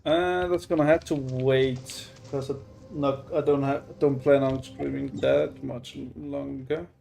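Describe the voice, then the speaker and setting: A man livestreaming a game and chatting casually, halting and repeating himself. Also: medium pitch, fairly even intonation, creaky, darkish tone. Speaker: game streamer.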